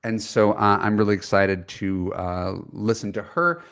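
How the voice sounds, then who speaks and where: Low-voiced man hosting a podcast. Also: steady tone, a little slow, a bit bright, clear, resonant tone. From United States. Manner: chatty, self-deprecating, many fillers and restarts. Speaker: podcast host and dating coach. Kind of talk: conversational podcast intro and coaching.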